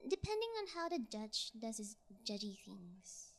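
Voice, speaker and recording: Girl doing a smug sociopath kidnapper lady for asmr roleplay, high voice, swingy pitch, teasing and flippant. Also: big pitch swings. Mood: bored, nonchalant. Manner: flippant, teasing, drawn-out casual delivery. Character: cocky, callous sociopathic female yangire kidnapper, flippant and taunting. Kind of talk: ASMR audio roleplay acting.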